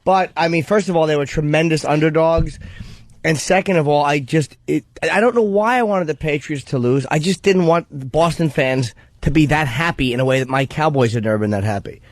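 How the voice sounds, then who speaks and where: A man's voice: on the high side, fairly quick, dry recording. A man co-hosting a radio show, bantering casually about sports.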